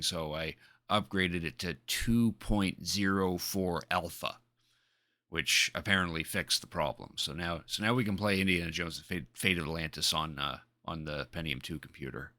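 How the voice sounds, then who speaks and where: A man chatting casually as a live streamer, speaking in a hesitant, halting way and often repeating his words. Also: deep voice, bright, forceful tone, no echo.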